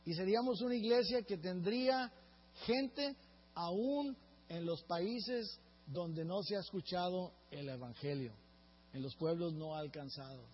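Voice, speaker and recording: A man preaching a sermon, his voice sitting high and a little husky. He keeps turning to the congregation for agreement as he talks. The sound is dull and lo-fi, with long reverb from a big hall. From Mexico. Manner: earnest preaching, often asks the congregation "right?". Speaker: pastor.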